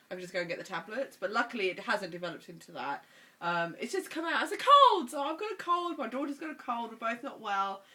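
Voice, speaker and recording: A woman vlogging in a chatty, animated way, her voice stuffy and congested from a cold. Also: fairly low pitch. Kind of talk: casual chat.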